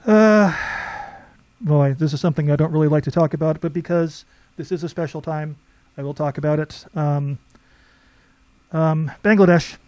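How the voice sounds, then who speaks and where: A man in a band being interviewed casually, deadpan and hesitant. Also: fairly high pitch, normal speed, no room sound.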